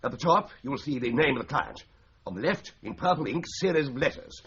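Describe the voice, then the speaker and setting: A man's voice: medium pitch, big pitch swings, a little fast, fairly bright tone. A breezy English solicitor in a 1980s radio play. His delivery swoops theatrically, droll and mock-pompous. The sound is a dull old broadcast with faint noise. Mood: drily instructive. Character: breezy, flippant, theatrical solicitor in a stuffy London office. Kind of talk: 1980s radio drama acting.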